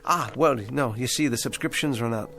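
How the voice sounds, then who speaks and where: A man's voice: medium pitch, brisk, bright, forceful tone, faint music behind. An actor playing a hesitant, eager-to-please male ventriloquist down on his luck, stammering and placating in a radio drama. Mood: anxious cheer.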